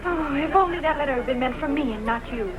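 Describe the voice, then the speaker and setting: A woman's voice: high voice, fast talker, natural voice. A woman dubbing an eager, wistful young handmaiden in a costume film, girlish and gushing, on a dull film soundtrack. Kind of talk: dubbed costume-film acting.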